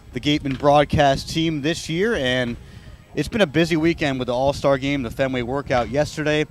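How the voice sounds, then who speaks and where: A man calling baseball play-by-play for radio in a measured delivery that builds on big plays, working as a broadcaster at an outdoor ballpark. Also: mid-range voice. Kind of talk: radio sports commentary.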